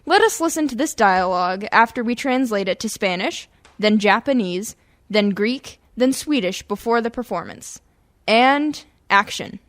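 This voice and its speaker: Lady radio host reading scripted intros for a comedy show, voice on the high side. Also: expressive, a little slow, fairly bright tone.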